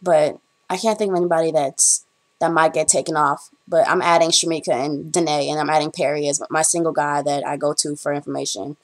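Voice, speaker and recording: Young female vlogger, lowish voice, chatty and rambling, fillers throughout. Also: fairly even intonation, a little fast. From Bahamas. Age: young adult. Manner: chatty, rambling storytelling with frequent ums. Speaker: vlogger, college student. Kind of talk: casual chat to camera.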